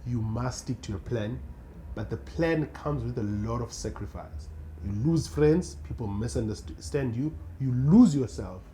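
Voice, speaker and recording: A young man with a South African accent, a radio producer chatting casually as a podcast guest, animated and quick to laugh, often restarting his sentences. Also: mid-range voice, a little hiss or hum. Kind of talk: casual interview chat.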